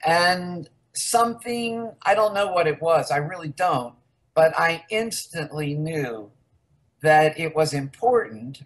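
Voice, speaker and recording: A woman's voice: sits low, expressive, slow pace. An older woman from the United States, a researcher guest in a casual interview, rambling candidly through her story.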